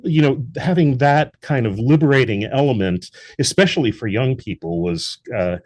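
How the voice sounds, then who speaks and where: A man's voice: medium pitch, lively intonation, normal speed, darkish tone. A man being interviewed as a guest, speaking earnestly in long, winding sentences about his theatre work. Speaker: guest, theatre founder and actor. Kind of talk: casual interview over a call.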